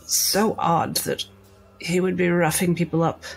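A woman voicing a shrewd, imperious lady doctor with a genteel, measured way of talking in a tabletop game. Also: fairly low pitch, flat delivery, gravelly, faint music behind, rough recording. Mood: shrewd, composed.

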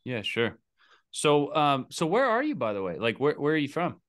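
A man's voice: on the high side, huge pitch swings, moderate pace, fairly bright tone, dry recording, clean studio sound. A middle-aged man chatting casually as the host of a coaching call, indoors on a video call. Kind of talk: casual chat. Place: indoor home office.

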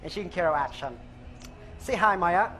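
A man in his thirties with a thick Vietnamese accent, earnestly pitching a product live on stage on a headset mic. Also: expressive, sharp tone. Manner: earnest, halting non-native phrasing. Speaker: startup founder pitching. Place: open-air stage at a trade show.

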